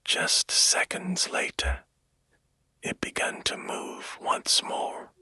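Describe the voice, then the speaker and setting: A man narrating a bedtime story in a hushed, gentle and soothing manner, taking his time. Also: slow, no echo, whispered. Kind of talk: slow, whispered bedtime storytelling. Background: music.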